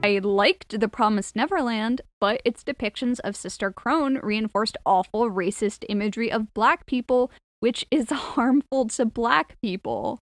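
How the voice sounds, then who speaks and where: Higher-pitched woman reading a video essay. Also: unhurried, dry recording. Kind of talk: scripted argumentative video essay.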